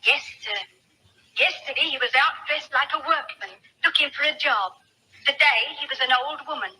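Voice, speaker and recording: Sharp-voiced older housekeeper, high pitch, folksy, chatty, indignant, tinny vintage radio drama. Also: female voice, normal speed, a little hiss or hum. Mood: gossipy. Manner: folksy, gossipy, deferential. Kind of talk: old-time radio drama acting. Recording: tinny old-time radio recording.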